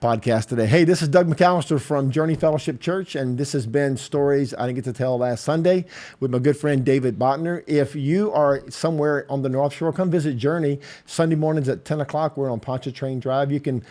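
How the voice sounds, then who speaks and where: A pastor in his late fifties hosting a podcast, talking casually and warmly with a friend, often adding "man" and "yeah.". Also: medium pitch, a little fast. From southeastern Louisiana. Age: 50s. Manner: warm, affectionate, says "man" and "yeah" a lot. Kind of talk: casual conversation.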